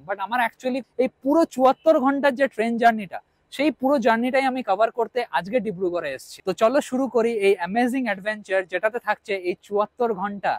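A man's voice: very high voice, no room sound. A young man, chatting casually to viewers as a travel vlogger while filming himself at arm's length. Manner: lively, chatty, points things out to viewers. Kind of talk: casual travel vlog narration. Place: train coach and station platform, mostly moving train. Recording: train and station noise clearly behind.

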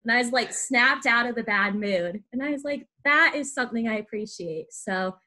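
A woman's voice: on the high side, normal speed, pushed and bright. A woman in her twenties hosting a podcast, chatting informally and often saying "like" and "y'all". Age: 20s. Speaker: podcast host. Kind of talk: casual chatty interviewing.

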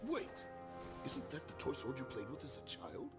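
A man's voice: mid-range voice, huge pitch swings, moderate pace. A man playing an earnest mercenary comrade in a video game. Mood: earnest, surprised. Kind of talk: scripted video game voice acting. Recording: studio voice over game music.

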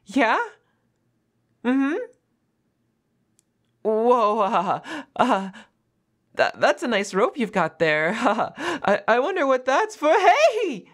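A woman's voice: a little high, big pitch swings, extremely slow, pauses often, exaggerated voice. A woman voicing a defensive, flustered young half-wolf girl roommate in an audio roleplay, stammering and evasive with a whiny manner. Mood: nervous, evasive, then panicked, whining.

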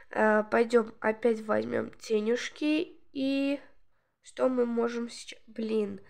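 Woman host, rambling gameplay chatter, slightly hoarse from a cold, raised pitch and plenty of fry. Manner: chatty, rambling, many fillers. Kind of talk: casual gameplay commentary.

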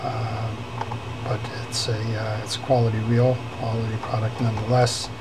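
A man's voice: fairly low pitch, flat delivery, normal speed, noisy background. A man giving a hands-on tutorial at a workshop bench as he repairs a fishing reel, talking unhurriedly with many fillers and restarts. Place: workbench in a workshop.

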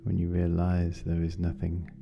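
A meditation guide speaking gently and unhurriedly, with long pauses, leading a guided meditation. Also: low-pitched, completely flat delivery, gravelly. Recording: dull lo-fi sound, music behind. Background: meditation music.

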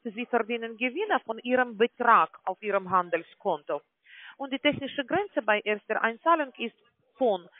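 A woman with a non-native German accent gives an explanatory phone sales pitch as a call-centre agent, often adding tag questions to confirm. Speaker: call-centre agent selling online trading. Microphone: telephone call. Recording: tinny, band-limited phone line.

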